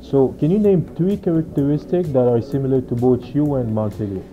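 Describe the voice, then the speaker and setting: A man's voice: medium pitch, a little fast, highs cut off. A man interviewing a guest with brief, direct questions.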